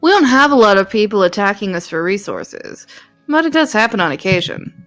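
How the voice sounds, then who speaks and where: A woman's voice: medium pitch, big pitch swings, fairly quick, fairly bright tone, soft background music. A woman voicing a friendly, casual female security militia guard in a visual novel. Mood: friendly, confident.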